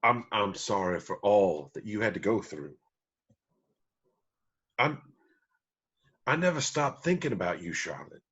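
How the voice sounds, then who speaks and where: A man acts a regretful country musician father with a husky voice. He stumbles over his apologies, humble and sorry, with a lively, swinging pitch. The table-read recording is dull. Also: heavily acted. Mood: contrite, pleading. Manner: halting, contrite. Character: remorseful absent father, former drunk, Southern country musician.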